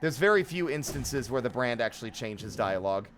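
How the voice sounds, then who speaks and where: A man's voice: medium pitch, lively intonation, brisk, a bit bright, soft background music. A man giving casual live game commentary as a streamer, musing and sometimes sweary.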